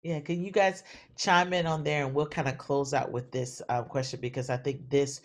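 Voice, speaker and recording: A man's voice: on the high side, level tone, normal speed. A man moderating a panel discussion, easygoing and trailing off with fillers.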